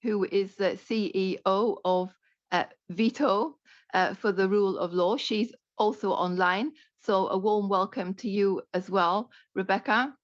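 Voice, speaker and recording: A woman moderates a policy panel in a mid-range voice, polite and halting with frequent fillers. The audio is dry and a little dull, with no room echo. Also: mid-range voice, steady tone. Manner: polite and halting, with many ums and uhs.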